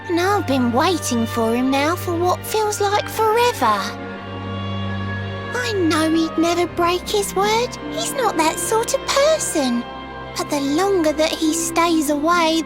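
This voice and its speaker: A lovesick young mermaid pours out her heart in lilting rhymes, her voice very high and slow. She sounds gentle and earnest. A game's music swells clearly behind her. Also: animated, heavily acted. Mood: pleading. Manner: speaks in sing-song rhyming verse. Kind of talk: video game voice acting. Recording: game score playing behind.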